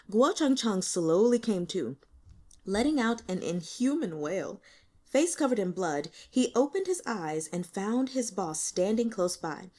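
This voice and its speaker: A woman reading a novel aloud as scripted audiobook narration, with expressive, dramatic storytelling. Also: medium pitch. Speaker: audiobook reader.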